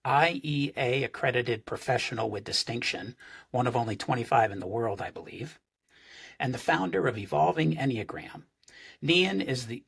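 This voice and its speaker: A man hosting a podcast who introduces his guest and asks questions in a friendly, halting way with many fillers. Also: mid-range voice, gravelly, a bit bright, slightly rough. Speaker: podcast host. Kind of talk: casual interview.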